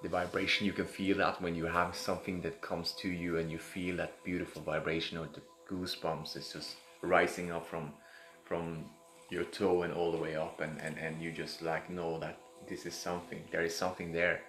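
A man leading an improvised guided meditation in a halting way, repeating words and drawing out phrases. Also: low-pitched, little pitch movement, a bit bright, soft background music. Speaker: meditation guide, host of a live session. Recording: echoey room, clear background noise.

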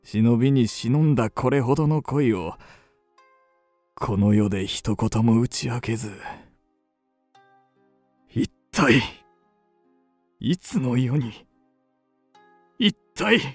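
Aging kabuki star, ardent scheming lover, gravelly and real slow, old-time stagey talk, radio drama. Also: male voice, medium pitch, lively intonation, no echo, soft background music. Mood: pleading, burning. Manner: theatrical, archaic stage Japanese phrasing. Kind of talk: period radio drama acting.

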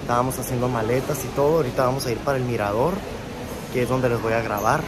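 Relaxed young man vlogging outdoors. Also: mid-range voice, fast. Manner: chatty, relaxed, addresses viewers as friends. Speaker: travel vlogger. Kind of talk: casual travel vlog narration. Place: outdoors at a beach resort. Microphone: phone camera at arm's length. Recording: wind and sea noise outdoors.

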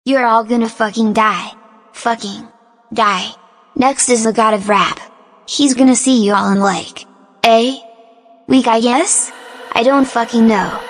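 A woman's voice: a little high, fairly even intonation, a little slow. A woman playing a petulant, wrathful god of punk rock in a comedy audio drama, theatrical but slipping into offhand grumbling. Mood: vengeful, then grudging, offhand. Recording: music under the voice. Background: music.

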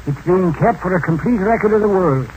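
An actor in 1940s radio acting style playing a mysterious, wise old hermit who talks in a folksy, drawling, philosophical way. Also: male voice, high-pitched, expressive, a little fast, a little mellow, poor audio. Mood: musing. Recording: old radio broadcast with faint hiss.

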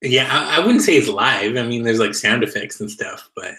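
A man's voice: mid-range voice, expressive, moderate pace, pushed and bright. A man co-hosting a show over a video call, bantering casually and reading out comments. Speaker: show co-host.

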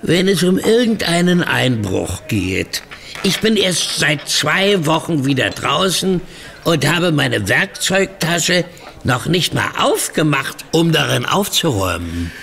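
An actor playing a cheeky, mocking safecracker crook in a German radio play, with a sardonic, hesitant drawl. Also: male voice, fairly high pitch, big pitch swings, slow, vocal fry, a bit bright, slight background noise, full character voice.

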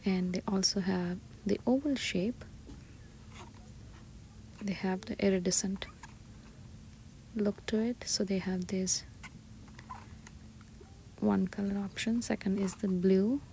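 A woman shopping vlogger giving soft, breathy, often half-whispered ASMR-style commentary as she describes items one by one inside a store. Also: medium pitch, fairly even intonation, slow pace, long pauses, low-quality recording. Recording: store ambience noise behind soft voice.

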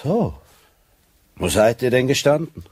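An actor playing a dry, probing police sergeant who questions people tersely in a radio crime drama. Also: male voice, medium pitch, very animated, a little slow, darkish tone, husky, no echo.

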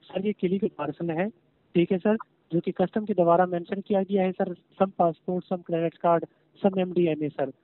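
A fairly high-voiced man talks over a thin, tinny telephone line. He sounds like a call-centre agent reading a script, formal and repetitive, with a "sir" in nearly every sentence. The sound is dry. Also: steady tone, unhurried, no echo. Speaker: phone scam caller posing as courier agent. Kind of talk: scripted call-centre customer service talk. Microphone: phone call. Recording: tinny narrowband phone line.

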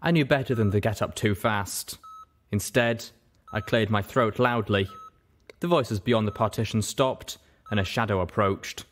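A man's voice: fairly low pitch, measured pace, a little hiss or hum. A man reading first-person fiction aloud as a scripted audiobook narration. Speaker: audiobook reader.

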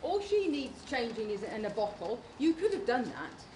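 A woman's voice: a little high, big pitch swings, moderate pace. A British woman playing an exhausted, nagging fiancée and young mother in an amateur radio play. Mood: weary, annoyed. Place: large conference room before an audience. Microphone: handheld or stand microphone. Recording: echoey hall with clear background noise.

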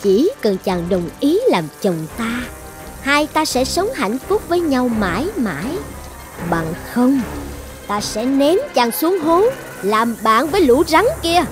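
Cartoon dragon princess , played by a woman: high voice, lovesick, controlling, coaxing yet threatening, music underneath. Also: very animated, slow pace. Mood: menacing. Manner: commanding, coaxing, menacing. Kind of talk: dubbed cartoon fairy-tale acting.

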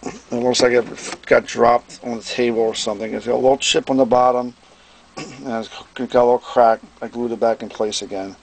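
A man giving a casual hands-on product demonstration as presenter, plain-spoken and folksy, often clearing his throat. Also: mid-range voice, a little hiss or hum, low-quality recording. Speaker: presenter, radio restorer and seller. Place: indoor workbench.

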